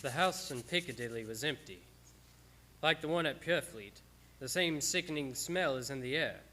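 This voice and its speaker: Man playing a grave gentleman narrator, bright voice. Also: medium pitch, moderate pace, slight background noise, lightly acted. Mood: grave suspense. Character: grave, observant gentleman recounting a vampire hunt. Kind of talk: radio drama narration.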